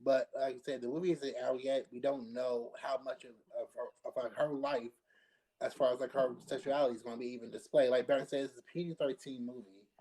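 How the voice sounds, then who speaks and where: Mid-pitched man explaining his opinion. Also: fairly even intonation, fairly quick, warm tone, husky, rough recording. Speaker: panelist on a pop culture discussion show. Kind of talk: casual opinion discussion.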